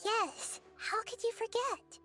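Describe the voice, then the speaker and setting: A little girl deity for a video game, pitched extremely high. She is gentle and caring, speaking softly with earnest reassurance. Faint music plays behind her. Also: slow pace. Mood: curious.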